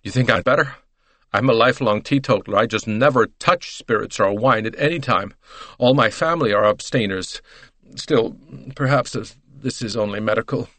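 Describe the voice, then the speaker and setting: A man's voice: medium pitch, normal speed, fairly bright tone, no echo, lightly acted. A man voicing a talkative, melodramatic middle-aged American woman in an audiobook, with a gushing, emphatic, breathless delivery. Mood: coyly reluctant.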